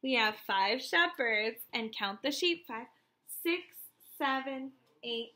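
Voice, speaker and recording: Female primary teacher for a children's read-aloud: bright, high voice, encouraging and sing-song. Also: lively intonation, takes it slowly.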